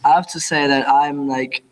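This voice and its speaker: German teenage exchange student, hesitant. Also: male voice, fairly high pitch, fairly even intonation, unhurried, crowd noise, poor audio. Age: teens. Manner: hesitant, with many fillers. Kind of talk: thank-you speech at a public meeting.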